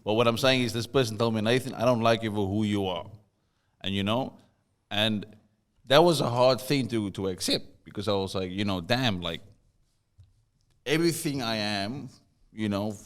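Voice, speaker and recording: A man's voice: lowish voice, moderate pace. A South African man co-hosting a casual conversation, telling a story and often repeating words as he starts a thought. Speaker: podcast co-host.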